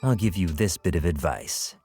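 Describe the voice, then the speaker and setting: A man playing a calm, dry police officer giving cautionary advice in a video game. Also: deep voice, moderate pace, soft background music. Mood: calm, cautioning. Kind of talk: voice acting in a dating simulator game.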